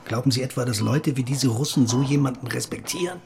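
Man playing hard-line police officer, average pitch, self-assured, confrontational, plain-spoken, audio drama. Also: fast talker, vocal fry, darkish tone, faint music behind, natural voice. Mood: blunt, combative. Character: hard-line, combative policeman challenging the sheriff.

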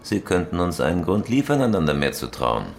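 A man's voice: low-pitched, big pitch swings, brisk, creaky, slight background noise, exaggerated voice. A man playing a cool, hard-nosed professional robber in a German radio crime drama, terse and businesslike. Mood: calm, sly. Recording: loud music bed.

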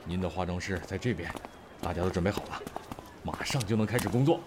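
An actor plays a bossy, fawning male crew chief. His voice is somewhat low and bustling. It sounds set back amid noisy outdoor ambience. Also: fast talker, natural voice. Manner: obsequious, bustling. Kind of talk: audio drama acting. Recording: distant, over outdoor ambience noise.

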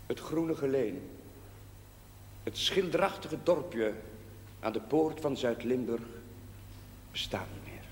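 An actor playing a devout village priest in a television drama, preaching solemnly in measured, emphatic cadences. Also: male voice, mid-range voice, darkish tone. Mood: wistful, rhetorical. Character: devout male village priest bidding his parish farewell. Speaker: parish priest. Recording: echoey church hall reverb, background hiss.

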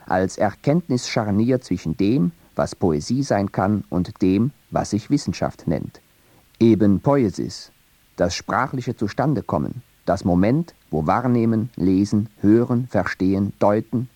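A man reading a scripted radio essay on poetry in a measured, deliberate way. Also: on the low side, darkish tone, dry recording. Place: radio studio.

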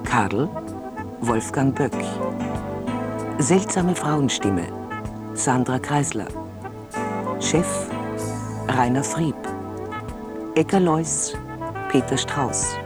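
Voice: mid-range voice, expressive, extremely slow, pauses often. Langsame, formelle Ansage über lauter Musik. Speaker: credits announcer. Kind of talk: scripted credits reading. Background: music.

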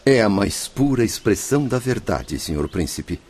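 A man's voice: lowish voice, very animated, normal speed, darkish tone, breathy edge, exaggerated voice. An actor in a radio drama playing an anguished spirit who formally and emotionally implores, longing for his family. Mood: pleading. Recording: music behind the voice.

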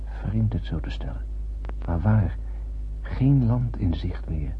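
An actor playing a brooding young spaceship crewman, narrating in a solemn, poetic and measured way in a radio drama. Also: sits low, lively intonation. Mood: solemn, lonely. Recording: muffled, hissy old radio drama recording.

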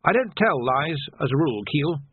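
A flustered police captain near seventy acted by a male audiobook reader, pitched high and swooping about. He is proud yet unsure of himself, blustering like an old soldier and then trailing into hesitation. The sound is thin, as if down a phone line. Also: sing-song, normal speed, husky, no room sound. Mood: resigned. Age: 60s. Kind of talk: audiobook character voice. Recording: narrow, phone-like band.

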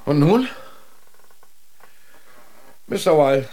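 An actor playing a stern, sarcastic polar expedition commander in a radio drama, with a rough, commanding voice. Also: male voice, a little high, lively intonation, extremely slow, mellow, heavily acted. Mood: brisk, commanding.

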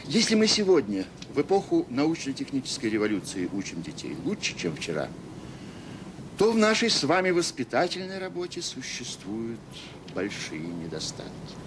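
A man's voice: huge pitch swings, moderate pace. A man acting in an audio drama as a self-assured, sarcastic education official with an oratorical, condescending manner. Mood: oratorical, earnest. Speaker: conference speaker. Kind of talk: radio drama acting, formal speech. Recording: noisy 1980s audio play with rumble.

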